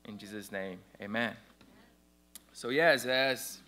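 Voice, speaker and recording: A man's voice: on the low side, takes it slowly, sharp tone. A man in his thirties from Brazil with a Brazilian Portuguese accent, speaking English carefully as he prays and begins his testimony in church. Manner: earnest and careful, slightly hesitant. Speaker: church member giving testimony. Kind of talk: personal testimony and prayer. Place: church sanctuary stage, at a pulpit.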